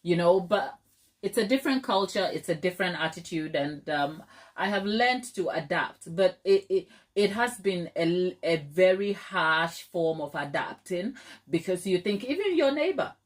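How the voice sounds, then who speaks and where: A woman's voice: fairly low pitch, animated, moderate pace. A middle-aged woman from Ghana hosting a live stream, chatting casually with viewers, laughing often and using plenty of fillers. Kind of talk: casual chat reading viewer comments.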